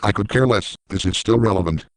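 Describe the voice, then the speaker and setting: A man's voice: on the low side, fairly quick, slight background noise, rough recording. A man giving sarcastic, irreverent commentary in a snide manner. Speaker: mocking commentator.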